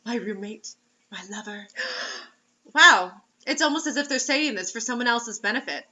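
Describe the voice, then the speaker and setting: A woman's voice: on the high side, expressive, measured pace, sharp tone, lo-fi sound. A woman reading a story aloud as host, in a mocking dramatic way, breaking off for sarcastic casual asides.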